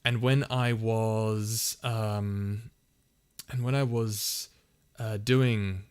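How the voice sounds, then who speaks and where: A man hosting a casual livestream Q&A. His voice is soft and soothing, and he rambles through his answers with lots of fillers and restarts. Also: on the low side, flat delivery, drawn-out words, clean tone. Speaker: ASMR channel host answering viewer questions.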